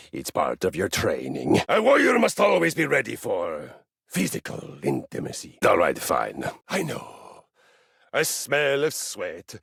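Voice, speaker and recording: An actor voicing a boastful, violence-loving warrior treadmill in a video game, with pompous, grandiose and formal delivery. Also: male voice, mid-range voice, vocal fry, fairly bright tone, slightly rough, no echo, clean studio sound, heavily acted. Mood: proud, menacing. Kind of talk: theatrical video game character acting.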